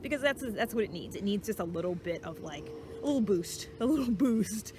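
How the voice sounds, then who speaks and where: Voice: medium pitch, animated, fairly quick, over music. A channel host talking casually and reflectively in a solo vlog, thinking aloud in a conversational way.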